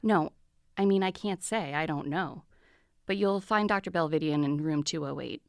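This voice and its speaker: A woman's voice: sits low, normal speed, no echo, clean studio sound. A woman playing a harried senior hospital doctor in an audio drama, matter-of-fact and cautious. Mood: hesitant. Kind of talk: dramatic podcast acting.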